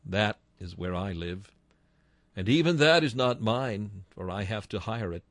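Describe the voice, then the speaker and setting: A man preaching an old-style sermon, slow and declamatory, rising to ringing exclamations and sinking to hushed, reverent tones. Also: lowish voice, lively intonation, dry recording. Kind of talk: Victorian-style sermon reading.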